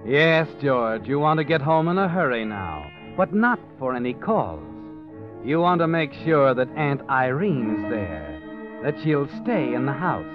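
An actor playing a grave second-person narrator in an old radio drama, over music, in a muffled recording. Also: male voice, mid-range voice, sing-song. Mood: ominous. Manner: measured, ominous storyteller addressing the hero. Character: grave male narrator speaking to the hero.

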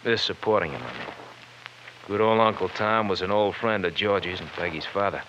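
A man's voice: sits low, brisk, fairly bright tone. An actor playing a bitter young wise guy in an old radio crime drama, speaking in sardonic, slangy, stylized patter. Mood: bitter, mocking. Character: bitter, sardonic young bowling-alley man, failed lawyer. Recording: muffled, noisy old radio recording.

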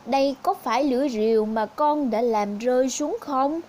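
A woman voicing a kind, gentle old man from a fairy tale, speaking slowly in a fatherly way. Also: high voice, close to an everyday voice. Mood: kindly questioning.

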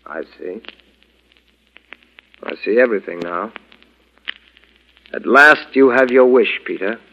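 A man's voice: on the low side, expressive, slow pace, pushed and bright, full character voice. An actor playing a dignified, aggrieved stepfather and doctor with a formal, bitter delivery, in old radio drama style. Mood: bitter, resigned. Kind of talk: 1940s radio acting. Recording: thin, phone-like old radio recording.

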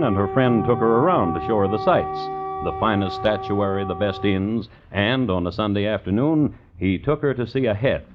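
An actor playing a wry, measured narrator in a scripted radio drama. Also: male voice, lowish voice, lightly acted. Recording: narrow, tinny vintage radio recording. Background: prominent dramatic music.

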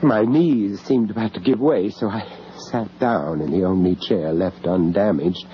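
An actor playing an anxious English gentleman in a radio horror drama, halting and stammering in distress, on an old radio recording. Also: fairly low pitch, lo-fi sound, noisy background, natural voice. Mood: grim, shaken. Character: shocked, anxious English gentleman, family friend of victims. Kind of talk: radio horror drama acting and first-person narration.

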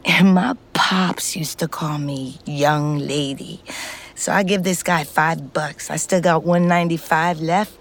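A woman's voice: deep voice, fairly even intonation, measured pace, vocal fry. An actress playing a foul-mouthed, middle-aged ex-convict, telling her story in a confiding, conversational way. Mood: wry, confiding. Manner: streetwise, blunt, confiding. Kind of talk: scripted first-person narration, conversational.

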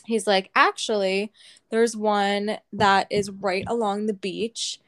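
A young woman co-hosting a podcast, telling a story in a casual, animated way and swearing freely. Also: mid-range voice, slow pace. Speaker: podcast co-host.

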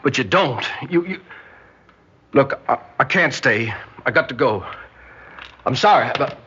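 A man's voice: mid-range voice, animated, a little slow, pushed and bright. An actor in an old-time radio drama playing a needy, nervous young man, with a hesitant, stammering, earnest delivery. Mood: pleading, desperate. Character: needy, nervous young male cousin, awkwardly polite. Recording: dull noisy old radio recording.

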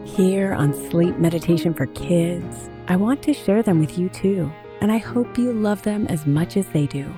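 A middle-aged woman speaks in a fairly low register over clearly audible guitar music. Her delivery is gentle and calming, a scripted narration made to guide children to sleep. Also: normal speed, hardly any pauses. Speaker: podcast host and meditation guide.

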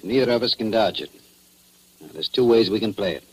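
Husky, blunt American police chief. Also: male voice, fairly low pitch, animated, brisk, poor audio. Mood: firm, probing. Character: shrewd, blunt small-town police chief questioning suspects. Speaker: actor playing police chief. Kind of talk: 1940s radio acting.

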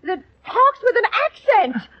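A woman's voice: very high voice, sing-song, unhurried, heavily acted. An actress playing a fussy, demanding mother out shopping, scolding in old radio drama style. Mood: indignant. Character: fussy, indignant mother shopping in a department store. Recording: dull, lo-fi old radio recording.

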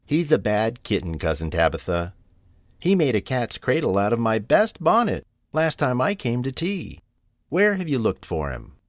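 A bossy lady cat neighbour from a children's storybook, put on by a man, with a raised pitch. She is brisk, critical and no-nonsense, swinging widely in tune. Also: wildly swooping pitch, no room sound, studio quality. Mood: disapproving.

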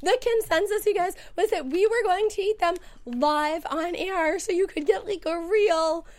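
A woman's voice: extremely high pitch, moderate pace, darkish tone. A woman who has lost her voice, hosting a casual TV after-show chat, chatty and self-mocking, leaning into a studio mic. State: hoarse, lost voice. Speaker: host.

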